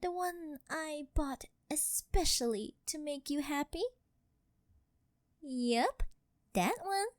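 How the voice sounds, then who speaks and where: A woman's voice: high voice, animated, slow pace, pauses often, no room sound, clean studio sound. A woman voicing a rich, doting and possessive older girlfriend in an ASMR roleplay, coaxing and sweet-talking.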